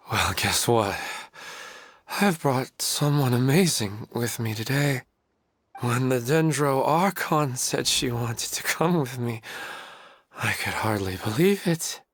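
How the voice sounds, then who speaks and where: An actor playing a gentle, wistful, lovelorn man who speaks earnestly in a video game. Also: mid-range voice, expressive, slow, no echo. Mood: wistful, fond. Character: gentle, wistful man longing for his lost wife. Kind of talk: anime-style video game acting. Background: music.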